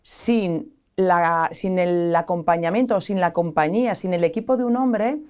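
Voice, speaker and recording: A woman speaks with a strong Basque Spanish accent in a smooth, resonant voice. She is a studio interview guest who builds long, thoughtful arguments point by point. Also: fairly low pitch, moderate pace, darkish tone. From San Sebastián, Spain. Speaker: guest, association founder.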